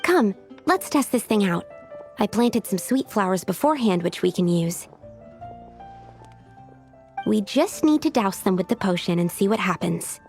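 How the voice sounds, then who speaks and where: A studious young female alchemist with a medium-pitched voice edged with creak. She explains things precisely and earnestly, with a touch of modest hesitation, as in a video game. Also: lively intonation, measured pace, long pauses, a little mellow, faint music behind. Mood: analytical. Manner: methodical, explanatory, slightly hesitant.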